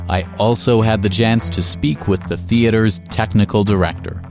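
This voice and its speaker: A man's voice: fairly low pitch, fairly even intonation, normal speed, over music. A man hosting and asking questions on a radio interview show.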